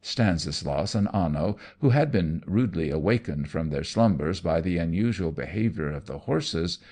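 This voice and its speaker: A man's voice: low-pitched, animated, moderate pace, a little mellow. A man reading gothic fiction aloud in a dramatic, theatrical, suspenseful storytelling manner. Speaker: audiobook narrator.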